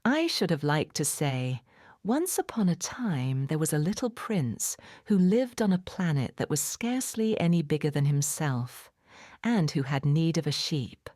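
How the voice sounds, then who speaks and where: A woman narrating a children's storybook in a measured, clearly phrased, expressive bedtime-story manner. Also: deep voice, no echo, clean studio sound. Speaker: audiobook narrator.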